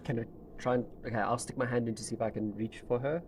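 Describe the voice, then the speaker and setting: A man's voice: mid-range voice, steady tone, fast talker, a little mellow. Casual male player, faint room noise. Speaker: tabletop game player. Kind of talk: casual game-table chat. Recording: noisy room recording.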